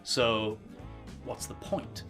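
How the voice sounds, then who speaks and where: A man talks through his reasoning as a podcast co-host, measured with small asides. His voice sits at a plain middle pitch, with a little music faint behind. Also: a bit bright. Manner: measured, argues a thesis with asides. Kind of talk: casual podcast chat.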